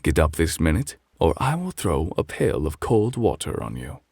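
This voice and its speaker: A man voicing a grumpy, indignant male bear who scolds in a measured, old-fashioned way in a bedtime story. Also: rumbling low, very animated, gravelly, a little mellow, slightly rough, no room sound, clean studio sound, heavily acted. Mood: stern, scolding.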